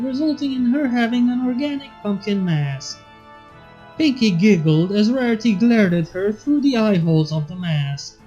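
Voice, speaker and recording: Young woman narrating over soft music. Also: medium pitch. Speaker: fanfiction reader and channel host. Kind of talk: story narration. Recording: slightly dull audio, soft background music.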